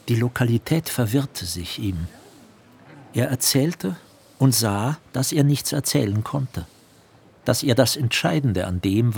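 A man narrating a literary radio play from a script in a measured, serious manner. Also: medium pitch, creaky, a little mellow, breathy edge.

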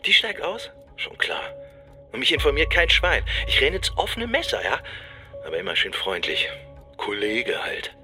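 A man's voice: medium pitch, lively intonation, moderate pace, over music, close to an everyday voice. A man playing an embittered, suspicious police detective in a naturalistic radio crime drama, blunt, colloquial and sardonic. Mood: bitter, incredulous.

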